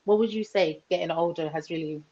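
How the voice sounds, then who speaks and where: A woman's voice: on the low side, brisk, darkish tone, lo-fi sound. A woman hosting a podcast, asking chatty questions and affirming her guest. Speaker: podcast host. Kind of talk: casual interview chat.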